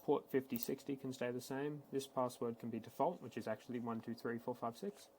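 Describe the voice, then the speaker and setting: Man, mid-pitched, creaky voice, casual matter-of-fact tech walkthrough, unscripted, typing and mouse clicks behind. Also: brisk, a little mellow, a little hiss or hum. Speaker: tech support instructor. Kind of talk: screen-recorded software tutorial, unscripted walkthrough.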